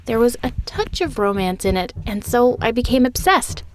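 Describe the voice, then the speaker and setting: Young woman, story narrator, expressive and confiding, pitched a little high. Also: normal speed, a little hiss or hum, rough recording. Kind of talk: scripted first-person narration of an animated story.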